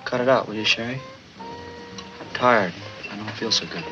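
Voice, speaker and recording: An actor playing a tired, meek husband who pleads and sulks, in 1950s film noir style. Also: male voice, lowish voice, normal speed, bright, forceful tone. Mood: plaintive. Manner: plaintive, pleading, wounded. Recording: dull old film soundtrack with score. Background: film music.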